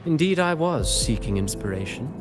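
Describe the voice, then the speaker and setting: A man playing a sly, eloquent poet-schemer in a video game, teasing with mock courtesy in a theatrical, deliberate way, with music behind him. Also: a little high, very animated. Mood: sly, cryptic. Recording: background music under the voice.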